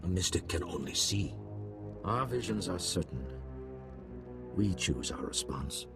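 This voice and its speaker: Very deep, gravelly alien mystic. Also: male voice, expressive, distant mic, over music, full character voice. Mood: solemn. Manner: slow-spoken, oracular pronouncements. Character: solemn, cryptic male alien mystic seer. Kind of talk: video game voice acting.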